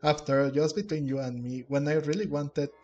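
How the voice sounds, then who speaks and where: A man's voice: on the high side, fairly quick, a little mellow, muffled recording. A man streaming a video game, commenting casually and reading in-game text aloud. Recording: game music faintly behind.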